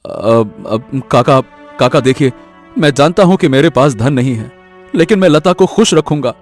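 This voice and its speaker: A man's voice: mid-range voice, animated, moderate pace, faint music behind, close to an everyday voice. A man voicing a poor, earnest and anxious young woodcutter in a cartoon story, speaking hesitantly. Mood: nervous, earnest. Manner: hesitant, stammering, pleading. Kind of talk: animated story voice acting.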